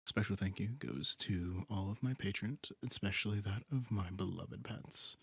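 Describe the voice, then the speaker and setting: Man, audio roleplay creator, low voice, casual outro, thanking listeners, dry recording. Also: normal speed, creaky, fairly bright tone, husky, no echo.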